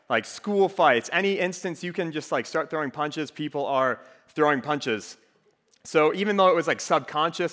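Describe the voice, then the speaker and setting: A young man with a faint Minnesotan accent speaks to a student group in a relaxed, joking, conversational way. His voice sits in a middle pitch, and it rings out with long reverb in a big hall. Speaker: campus ministry speaker. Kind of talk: casual sermon to a student group.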